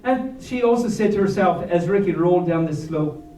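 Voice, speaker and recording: A man's voice: high-pitched, moderate pace, dark tone. A man reading a storybook aloud to a live audience in a playful, theatrical way, with chatty asides. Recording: roomy, distant mic, faint background noise.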